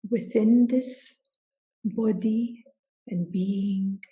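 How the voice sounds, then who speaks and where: A woman spiritual teacher leading a guided meditation in slow, deliberate phrases separated by long pauses. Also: medium pitch, fairly even intonation, mellow, dull audio.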